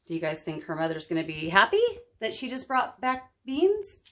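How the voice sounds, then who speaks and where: Animated woman reading a children's story. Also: lowish voice, normal speed. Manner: dramatic, explains words to children. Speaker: story-time reader. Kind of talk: expressive children's storybook narration.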